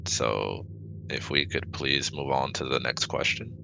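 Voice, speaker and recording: A man acting as a reserved alien scientist with an extremely deep, gravelly voice. He speaks formally and robotically, in slow, measured phrases, like a clinician in an ASMR sci-fi audio drama. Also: background noise, exaggerated voice. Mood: apologetic, formal. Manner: formal, robotic, avoids contractions. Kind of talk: scripted ASMR audio roleplay.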